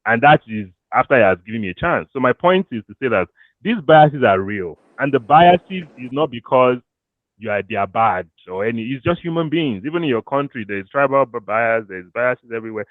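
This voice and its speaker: Animated Nigerian man, thin phone-like sound. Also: mid-range voice, brisk, dry recording. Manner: animated, switches into pidgin, laughs often. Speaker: career coach. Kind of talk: casual talk to an audience. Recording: thin phone-like dry audio.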